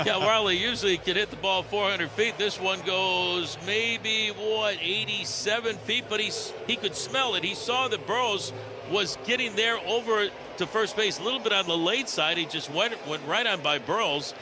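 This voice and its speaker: Higher-voiced American color commentator, noisy ballpark. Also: male voice, moderate pace. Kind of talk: live sports analysis, conversational.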